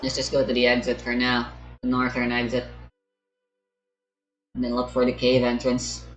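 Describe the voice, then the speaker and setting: A woman comments casually as she plays a video game. The voice is extremely low for a woman and sounds roomy, with clear game music playing behind. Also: monotone, moderate pace. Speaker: game player.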